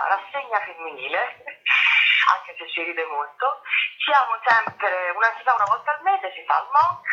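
A woman talks in a lively, chatty way and keeps breaking into laughter. She is an actress calling in to a radio show. Her voice comes through a dull, lo-fi phone line. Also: lowish voice. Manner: chatty and animated, laughs often. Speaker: stage actress. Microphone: telephone.

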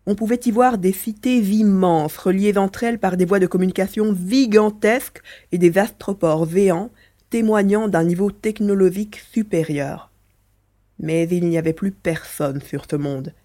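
A woman's voice: medium pitch, normal speed, mellow, close to an everyday voice. A woman voicing a mischievous male mouse-beaver alien, a chatty, teasing adventurer in a French audio drama. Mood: storytelling.

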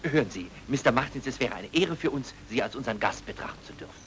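Radio play casting: male actor as a pompous, talkative culture bureaucrat, lively and over-hospitable. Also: medium pitch, fast talker, slight background noise, close to an everyday voice. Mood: insistent, hospitable. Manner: busy, pompous, eagerly hospitable. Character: fussy, garrulous, self-important cultural propaganda official. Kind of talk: mid-century German radio comedy-thriller acting.

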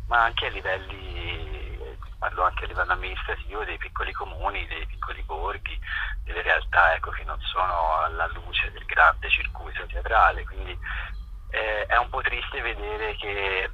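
Middle-aged man, very bright forceful voice, halting and reflective, interview answers, noisy radio-like recording. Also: sits low, expressive, vocal fry, muffled recording. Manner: halting, reflective, repeats words while searching. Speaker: festival director being interviewed.

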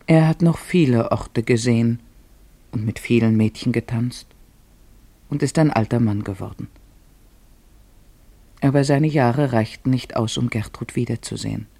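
A man's voice: medium pitch, vocal fry, dark tone, dry recording. A man narrating a literary radio drama in German, reading scripted storytelling prose.

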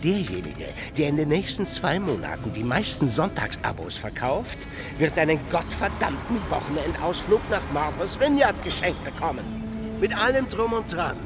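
Cranky male writer, radio drama, gruff scolding, animated intonation, music in background. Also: on the high side, moderate pace. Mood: hectoring. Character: cranky, bullying bearded science-fiction writer, paranoid and stingy. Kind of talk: German radio drama acting.

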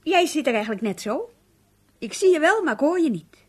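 An actress playing a nagging, sceptical middle-aged housewife in a naturalistic radio play, needling and reproachful in everyday speech. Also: female voice, high voice, very animated, a little fast.

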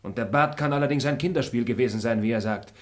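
An actor playing a dignified young male traveller who speaks in a calm, measured, courteous way. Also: mid-range voice, lightly acted. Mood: hasty. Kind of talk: radio play acting.

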